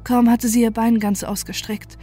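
A woman reading a scripted first-person horror story in a measured, grave storytelling manner. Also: a little high, little pitch movement, dark tone. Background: music.

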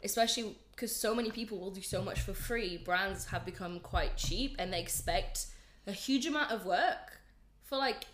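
A woman's voice: mid-range voice, moderate pace, reverberant, noisy background. A young woman in her twenties, chatting as a podcast guest, a bit congested from a flu, rambling and self-deprecating. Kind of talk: casual chat.

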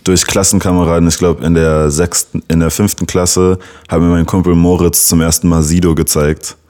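A young man from Berlin speaks in a very deep, low voice. English was his first language, and he tells his story in an easy, matter-of-fact manner as an interview guest. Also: flat delivery, normal speed, darkish tone. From Berlin, Germany. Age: young adult. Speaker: rapper guest. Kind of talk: casual interview answers.

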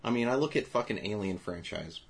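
A man's voice: on the low side, expressive, brisk, vocal fry. A man co-hosting a casual movie review podcast, swearing often and laughing as he talks. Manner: profane, laughing, reads reviews aloud. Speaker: podcast co-host.

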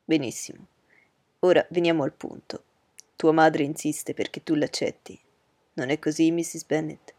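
A woman voicing a calm, ironic older father, lowering her voice and speaking in a measured way. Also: no room sound. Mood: wry.

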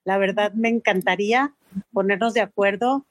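A woman's voice: medium pitch, steady tone, moderate pace, dry recording. A woman speaks with eager enthusiasm in a casual online chat. Her voice sits mid-range, and the sound is dry and close. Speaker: social audio room participant.

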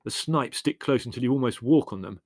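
A man's voice: medium pitch, a little fast, no echo, clean studio sound, lightly acted. A man voicing a blunt, hot-tempered, scolding young man in an audiobook story. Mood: dry triumph. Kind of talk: audiobook character voice.

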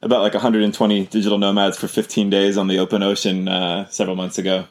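A man from the US, a podcast guest, speaking calmly in short remarks. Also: fairly low pitch, quick pace. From United States. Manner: calm, brief interjections. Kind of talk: casual podcast conversation.

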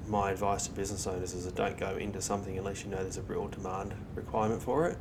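A man's voice: steady tone, fast talker. A man with an Australian accent being interviewed, chatting informally and giving his opinions. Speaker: interview guest. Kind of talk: casual conversational interview. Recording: noisy recording with low rumble.

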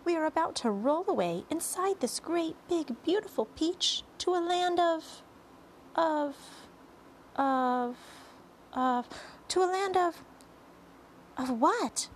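Woman voicing a kind, lilting, high-pitched lady ladybug. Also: lively intonation, slow pace, pauses often, a little hiss or hum, full character voice. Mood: reassuring, eager. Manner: explanatory, reassuring. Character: kind, gentle, chatty female ladybug, reassuring and confident.

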